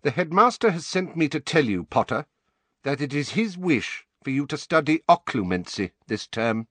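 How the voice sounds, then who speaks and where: A man narrating a storybook puts on a sneering male professor with a waspish, cutting manner. He speaks with quiet contempt and leans delicately on his words, in a very dry, close sound. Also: a little high, very animated, normal speed, dry recording, pitched above the performer's own voice.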